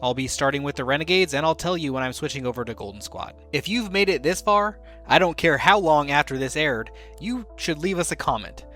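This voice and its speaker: Playful man hosting a tabletop podcast, slightly high-voiced. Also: expressive, a little fast, few pauses, sharp tone, soft background music. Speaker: podcast host and game master. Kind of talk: casual hosting of an actual-play tabletop podcast.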